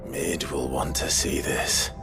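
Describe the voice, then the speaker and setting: An actor playing a resolute warrior in a fantasy video game, serious and dryly understated. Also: male voice, extremely low pitch, fairly even intonation, takes it slowly, over music, full character voice. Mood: dry, determined. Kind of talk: video game cinematic drama.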